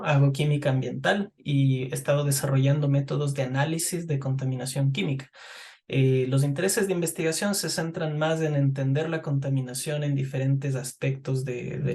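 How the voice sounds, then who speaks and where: A man's voice: fairly high pitch, a little mellow. A middle-aged man from Ecuador answering interview questions about his work as a chemist, thoughtful and often repeating words as he searches for them. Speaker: guest chemist.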